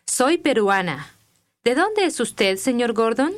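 Animated woman modeling phrases clearly. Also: a little high. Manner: clear, deliberate enunciation for learners. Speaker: language-course dialogue and model speaker. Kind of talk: scripted dialogue reading.

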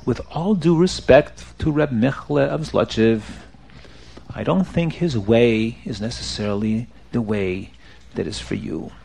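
A man voicing a wise, merciful rabbi in a religious tale, questioning and giving measured, soothing counsel. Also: sits high, sing-song. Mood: calm, assured. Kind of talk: oral religious storytelling, character voice.

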